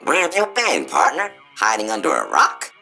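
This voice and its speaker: A man's voice: fairly low pitch, wildly swooping pitch, moderate pace, gravelly, sharp tone, soft background music, full character voice. A man playing a folksy, boastful cowboy robot spokesbot in a video game, with a showy American cowboy drawl. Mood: boastful storytelling. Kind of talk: theatrical Old West tall-tale patter.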